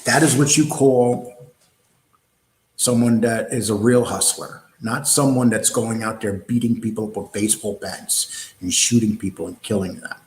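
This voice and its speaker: A man's voice: lowish voice, fairly even intonation, moderate pace, echoey room, low-quality recording. A man with a New York accent hosting a live online show, answering viewer comments in a blunt, combative way, with many fillers and restarts.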